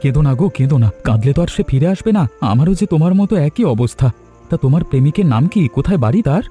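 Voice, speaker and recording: A male actor as a heartbroken young man in a cartoon melodrama, speaking fast in a soft-edged, mellow tone. He pleads with raw emotion and stubborn resolve. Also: fairly high pitch, lively intonation, soft background music, natural voice. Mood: concerned. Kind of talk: Bengali cartoon story acting.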